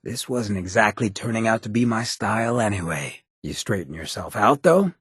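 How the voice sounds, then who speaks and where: A roguish undead drifter turned rebel mayor in a video game. The voice is on the low side and shredded with gravel. He talks in a cocky, streetwise slang with a loose drawl, recorded very dry. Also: animated, moderate pace, a bit bright, no room sound, exaggerated voice. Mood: casual, easygoing. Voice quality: very raspy, gravelly. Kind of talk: video game companion dialogue.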